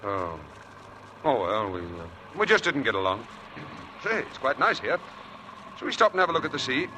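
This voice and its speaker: An actor playing a charming, evasive young husband with smooth, persuasive patter, in 1940s radio drama style. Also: male voice, medium pitch, very animated, normal speed, natural voice. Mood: tender, evasive. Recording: noisy old 1940s radio broadcast.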